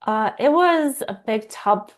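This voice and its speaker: A woman's voice: fairly high pitch, animated, slow pace. Woman, 30s, Romanian accent, non-native speaker; sincere, reaches for words and restarts. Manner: earnest, searching for words, frequent restarts. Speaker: guest, fertility coach. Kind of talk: casual interview talk.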